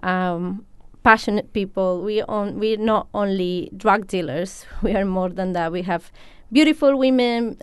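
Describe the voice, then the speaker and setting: Colombian woman, spanish first language, talks about her cafe, lots of ums, super clear dry voice. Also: mid-range voice, a little slow, no room sound. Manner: hesitant, many fillers, laughs often. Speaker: café owner. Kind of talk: interview answers.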